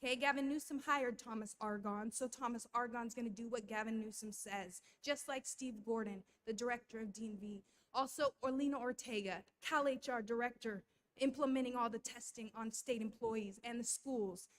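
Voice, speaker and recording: A woman with a somewhat high voice addressing a school board during public comment. She talks in a conspiratorial way and drops rhetorical tag questions as she goes. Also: moderate pace. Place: school board meeting room.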